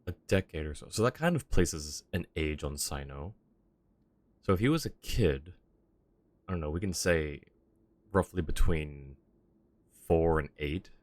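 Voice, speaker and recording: Deep-voiced man streaming, thinking aloud. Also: expressive, measured pace, pauses often, no room sound. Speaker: game streamer. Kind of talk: casual live stream commentary.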